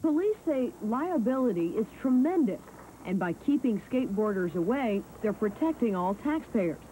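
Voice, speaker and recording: Higher-voiced female reporter, noisy background. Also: sing-song, moderate pace, mellow. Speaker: news reporter. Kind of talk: scripted report and field interview. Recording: noisy field news recording.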